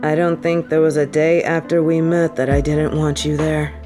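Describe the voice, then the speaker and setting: A woman's voice: deep voice, little pitch movement, music in the background, natural voice. A woman playing a sardonic, vengeful supervillain with a tender side for her partner, in an intimate audio roleplay. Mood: vulnerable, confessing. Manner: teasing, sighing, halting when confessing.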